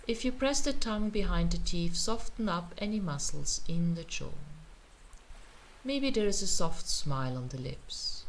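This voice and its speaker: A woman teaching meditation, guiding a relaxation in a gentle, soothing manner with pauses. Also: lowish voice. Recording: noticeable ambient background noise.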